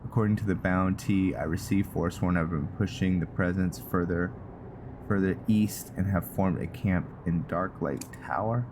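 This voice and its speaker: A man hosting a gaming channel, giving casual roleplay commentary in a halting way, thinking aloud as he plays. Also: sits low, background noise.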